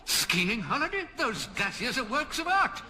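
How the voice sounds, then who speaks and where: A man's voice: very high voice, huge pitch swings, measured pace, bright, forceful tone, full character voice. An actor playing a proud, fussy elderly craftsman in a radio comedy, hesitant, deferential and plaintive. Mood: indignant, proud. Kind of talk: comic radio drama acting. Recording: long reverberant hall, noisy background.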